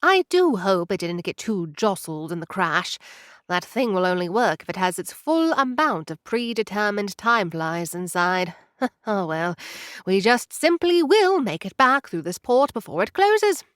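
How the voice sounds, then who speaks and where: A woman's voice: mid-range voice, sing-song, a little slow, clean tone, no room sound, studio quality. A woman playing a curt tiny ship captain. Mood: brusque. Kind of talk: audio drama acting.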